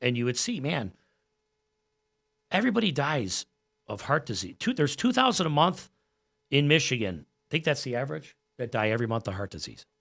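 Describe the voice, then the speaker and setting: A man's voice: mid-range voice, sing-song, fairly quick, gravelly, fairly bright tone. Earnest American man in his forties. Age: 40s. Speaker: podcast guest, gym owner and coach. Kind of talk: casual podcast conversation.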